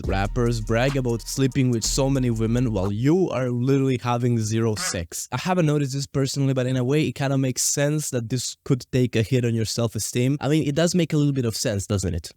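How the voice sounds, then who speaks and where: A man's voice: medium pitch, dark tone. A young man talking earnestly in a casual vlog, reasoning with the viewer and reading comments aloud. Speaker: YouTuber.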